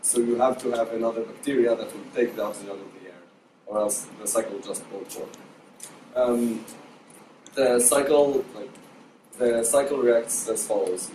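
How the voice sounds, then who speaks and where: A young man from Israel explains the science in a student presentation, hesitating often with ums and restarts. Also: mid-range voice. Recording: echoey room, distant mic, noise.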